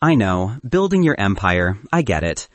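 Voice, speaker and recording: A man voicing a gentle, plain-spoken middle-aged working father in an audiobook. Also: fairly low pitch, sing-song, moderate pace, dry recording, pitched below the performer's own voice. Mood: concerned.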